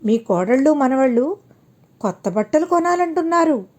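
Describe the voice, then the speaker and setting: A woman's voice: high-pitched, big pitch swings, normal speed, darkish tone. A woman voicing a timid grown son who speaks hesitantly and respectfully in an audio storybook.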